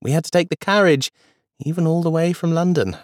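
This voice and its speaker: A man voicing a kind, gently teasing old grandmother talking to her grandchildren. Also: fairly high pitch, a little fast, no echo, studio quality, pitched above the performer's own voice, natural voice. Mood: fond. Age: older. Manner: gentle, amused.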